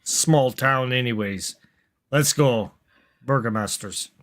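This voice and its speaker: An inquisitor captain played by a man in a roleplaying game. He is dismissive and unhurried, with hesitant, offhand phrasing and an average-pitched voice. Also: a bit bright.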